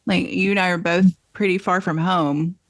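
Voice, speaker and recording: A woman's voice: fairly low pitch, steady tone, normal speed, no echo. A podcast host, a woman with a mild Southern American accent, chatting casually and telling anecdotes with frequent yeahs. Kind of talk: casual conversational interview.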